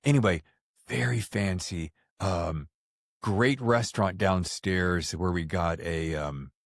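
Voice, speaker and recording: A man's voice: sits low, slow pace, creaky, no room sound, studio quality. An American man hosting a podcast, talking in an effusive, jokey way. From United States. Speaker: podcast host. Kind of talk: scripted intro and casual interview chat.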